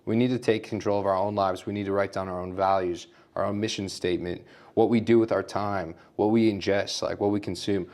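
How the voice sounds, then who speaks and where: A man's voice: low-pitched, fairly even intonation, a little fast, hardly any pauses, darkish tone. A man who is a guest on a podcast, explaining his ideas earnestly through everyday examples. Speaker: podcast guest, agency founder. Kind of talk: casual conversation.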